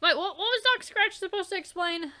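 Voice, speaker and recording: A woman's voice: very high-pitched, brisk, sharp tone. A young woman hosting a let's play, reading a webcomic aloud with theatrical delivery, swearing and trailing off into casual asides.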